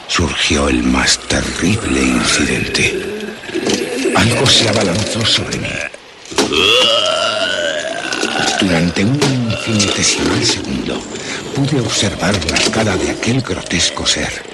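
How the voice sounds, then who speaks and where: A man's voice: very deep voice, animated, heavy background noise. A man playing a haunted narrator who solemnly recalls a monstrous attack in a radio horror drama. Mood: dread, horror. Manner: ornate, solemn, deliberate storytelling.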